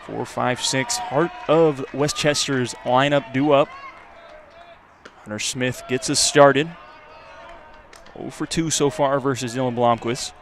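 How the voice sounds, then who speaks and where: A man's voice: medium pitch, fairly even intonation, takes it slowly, long pauses, some chatter behind. A man giving live play-by-play baseball commentary as a radio announcer. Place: outdoors at a baseball stadium. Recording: clear outdoor ambient noise.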